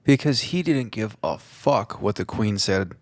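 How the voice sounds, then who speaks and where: Excitable swearing man in podcast chat. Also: fairly low pitch, unhurried, dry recording. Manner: excitable, swearing, jokey storytelling. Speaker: podcast co-host. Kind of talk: casual chat.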